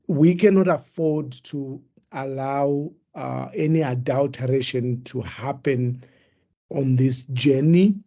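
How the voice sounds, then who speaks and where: Mellow-voiced chairman giving closing thanks. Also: medium pitch, muffled recording. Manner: measured, thankful closing words. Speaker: association chairperson. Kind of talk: closing remarks on a webinar. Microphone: video call.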